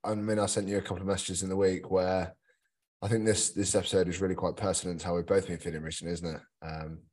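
A man's voice: low voice, little pitch movement, fast, a little mellow. A man chatting casually as a podcast co-host, with dry, understated humour.

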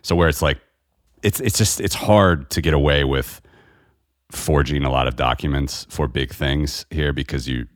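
A man with an extremely deep, low voice hosts a casual talk, rambling and full of fillers. The sound is dry and close, with no room heard around him. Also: moderate pace, dry recording. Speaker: podcast host. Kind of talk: casual conversation about money. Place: lives in Eastern Europe.

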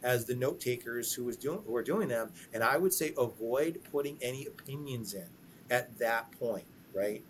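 A man with an average-pitched voice explains interview practices as a guest lawyer on a podcast, with a faint background noise behind him. He talks in an explanatory, conversational way, stumbling over repeated words as he builds his points. He often checks in with a quick "right?". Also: rough recording.

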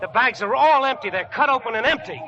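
Young prospector guy, earnest n worried, really high voice, muffled old radio drama. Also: lively intonation, fast, background noise. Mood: anxious. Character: young American gold prospector, anxious, earnest partner. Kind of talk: 1940s radio acting.

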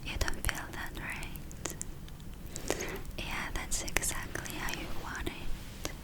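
A woman speaking in a gentle, breathy whisper, doing a soft personal-attention ASMR roleplay in short, unhurried phrases. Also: low-quality recording. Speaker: ASMR performer playing a spa attendant.

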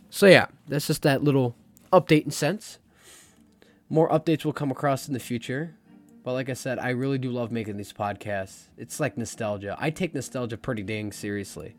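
A young man talks through his podcast in a loose, candid ramble, his voice still slightly hoarse from being lost. He sits at a middling pitch. Soft jazz plays faintly behind him. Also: lively intonation, normal speed. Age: young adult. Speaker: podcast host. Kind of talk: casual solo podcast talk. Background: faint jazz music.

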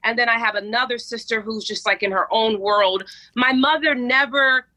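A woman in her forties, a coach speaking as a guest in an interview, energetic and emphatic as she tells stories. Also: fairly high pitch, moderate pace, low-quality recording. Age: 40s. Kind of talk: interview conversation.

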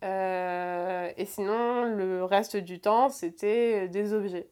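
A woman's voice: medium pitch, fairly even intonation, takes it slowly, a little mellow, clean tone. A woman in her twenties telling a personal story in conversation, hesitant, with many fillers and restarts. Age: 20s. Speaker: guest.

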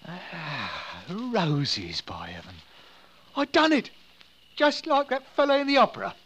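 A bumbling miracle worker. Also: male voice, fairly high pitch, wildly swooping pitch, measured pace, a bit bright, slight background noise, full character voice. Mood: wondering. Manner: hesitant, fumbling, folksy. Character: bumbling timid English clerk who works miracles. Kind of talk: 1950s radio drama acting.

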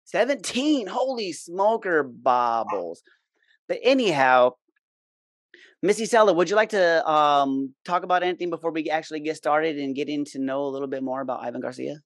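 A man's voice: a little high, expressive, moderate pace, dry recording, studio quality. A man hosting a casual podcast in an effusive, informal, slangy way. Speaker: podcast host.

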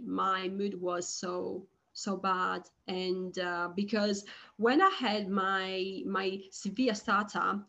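A woman guest on a podcast, speaking slowly and hesitantly, with frequent restarts and repeated words. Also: mid-range voice, fairly bright tone. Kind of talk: conversational interview.

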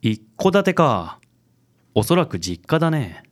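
A man's voice: a little high, sing-song, measured pace. A man playing a suave, overzealous, self-styled handsome man in a comic radio drama, pompous and passionate, sprinkling in English words.

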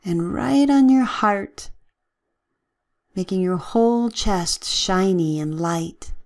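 A woman leading a guided relaxation with gentle, soothing, measured instructions and long pauses. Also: medium pitch.

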